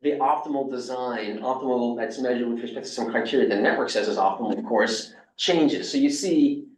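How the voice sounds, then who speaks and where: A man's voice: medium pitch, fairly quick. A man from New York with a New York accent, giving a casual academic seminar talk full of fillers and restarts. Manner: loose and conversational, with many fillers and restarts. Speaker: lecturer. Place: seminar room. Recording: echoey room, speaker far from the mic.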